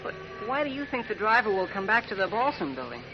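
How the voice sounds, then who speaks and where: An actor playing an eager, earnest, boyish newspaper sidekick in a 1940s radio drama. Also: male voice, sits high, big pitch swings, quick pace, noisy background. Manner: boyish, earnest, gee-whiz. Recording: dull old radio recording, background voices.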